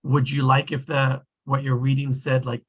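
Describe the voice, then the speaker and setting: A man's voice: mid-range voice, completely flat delivery, normal speed, breathy edge. A man hosting a casual group discussion, talking in a loose, rambling way that often trails off. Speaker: discussion host.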